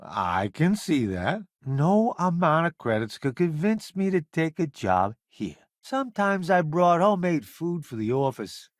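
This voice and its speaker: A man's voice: fairly high pitch, sing-song, dry recording, studio quality. A man playing a vain, flamboyant food critic turned ship's cook in a video game, with a wry, theatrical delivery. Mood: wry, grumbling. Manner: theatrical, wry, self-promoting, drawn-out emphatic delivery. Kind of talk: video game companion voice acting.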